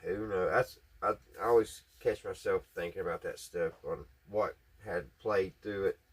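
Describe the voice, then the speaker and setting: A man's voice: deep voice, fairly even intonation, moderate pace. A man giving casual, hands-on repair commentary, folksy and musing aloud to himself as he works. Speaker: hobbyist repair vlogger.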